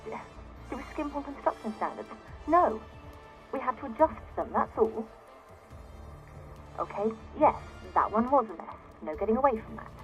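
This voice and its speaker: Game audio log: self-righteous female founder, higher-pitched, exasperated and defensive, dulled radio sound with music underneath. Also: expressive, moderate pace, long pauses, low-quality recording.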